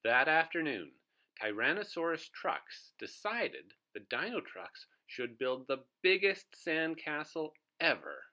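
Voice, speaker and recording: Bright, lively male storybook reader. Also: fairly high pitch, takes it slowly. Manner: expressive, asks young listeners questions. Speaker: read-aloud host for young children. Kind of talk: animated children's storybook read-aloud.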